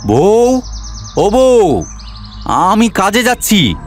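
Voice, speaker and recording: A man's voice: high-pitched, huge pitch swings, very slow, soft background music, exaggerated voice. A man voicing a crafty, earnest married village grandfather in a cartoon, with theatrical delivery. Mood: calling, suspicious. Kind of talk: Bengali cartoon voice acting.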